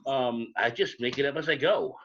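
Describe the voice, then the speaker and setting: A man with a mid-pitched voice talks casually and plainly as a voice actor on a panel. The lo-fi stream audio is slightly dull. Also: normal speed, a bit bright. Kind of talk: casual, plain-spoken chat.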